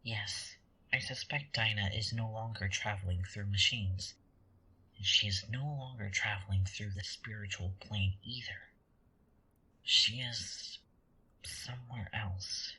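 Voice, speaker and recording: Casting: actor as manipulative angel for sci-fi audio drama; smug, unhurried, heavily breathy, taunting. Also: medium pitch, steady tone, pushed and bright, exaggerated voice, low-quality recording. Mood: insistent. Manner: theatrical, condescending, drawn-out, deliberate delivery.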